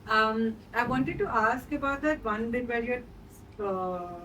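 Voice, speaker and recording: A woman's voice: fairly high pitch, animated, slow pace, pushed and bright. A woman in the audience at a screening asking a meandering, hesitant question. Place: screening venue, away from microphone. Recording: distant mic, faint room noise.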